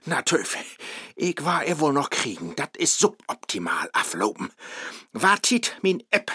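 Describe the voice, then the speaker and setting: An actor playing a clumsy, lovestruck male scholar who chases a woman, speaking with a Low German accent in a bumbling, eager, theatrical way, in a radio play. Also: wildly swooping pitch, unhurried, sharp tone, slightly rough, no echo, clean studio sound, exaggerated voice. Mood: sheepish.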